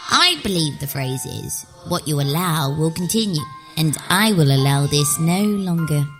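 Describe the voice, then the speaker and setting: A woman's voice: low voice, animated, unhurried, over music. A woman playing a stern, eerie little girl who runs a supernatural lift, prim and imperious. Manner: prim, imperious, crisply commanding. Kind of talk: live audio horror drama. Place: theatre stage, live performance.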